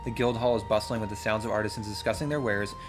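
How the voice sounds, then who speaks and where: A man playing and narrating a role-playing game, reading story passages aloud and thinking out loud in an excitable way. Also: lowish voice, quick pace. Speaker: solo role-playing game player and streamer. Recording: music playing behind the voice. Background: music.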